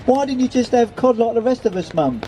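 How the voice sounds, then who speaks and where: A man's voice: on the high side, sing-song, a little fast, warm tone, faint music behind, pitched above the performer's own voice. A man voicing a scolding, exasperated adult daughter in a storybook. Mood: annoyed. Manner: nagging, exasperated.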